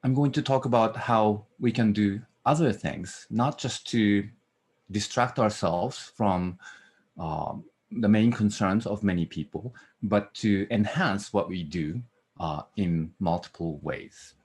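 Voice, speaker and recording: A man with a Japanese accent speaks in a very low, slightly husky voice. He lectures earnestly over a video call, leaning on frequent "uh" fillers. He is middle-aged. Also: takes it slowly, darkish tone. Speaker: guest lecturer. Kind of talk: academic lecture.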